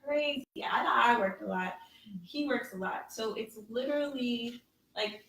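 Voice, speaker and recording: A woman's voice: fairly high pitch, unhurried, gravelly, a bit bright, roomy sound, recorded from a distance, a little hiss or hum, rough recording. A woman guest on a podcast, chatting conversationally and often checking in with "right?". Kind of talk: casual podcast discussion.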